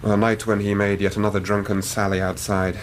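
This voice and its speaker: A man's voice: fairly low pitch, flat delivery, fairly quick, slight background noise, lightly acted. An actor playing an anxious, earnest young Victorian man in a radio drama, speaking in formal, precise period language. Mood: earnest, grave. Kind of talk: radio drama acting, narrating his own story.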